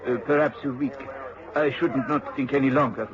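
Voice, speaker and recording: An actor playing a reserved foreign gentleman in a radio drama, with a foreign accent and a hesitant, stiffly polite manner. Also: mid-range voice, fairly even intonation, normal speed, a crowd in the background, lightly acted. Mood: guarded, polite. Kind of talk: mid-century radio drama acting. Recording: dull old radio recording with background voices.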